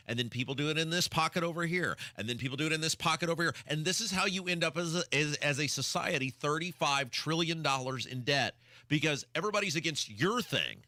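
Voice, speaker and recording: A man's voice: a little high, bright, forceful tone, no room sound, clean studio sound. A man hosting talk radio, giving opinionated commentary in an exasperated, emphatic way with rhetorical questions. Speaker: radio talk show host.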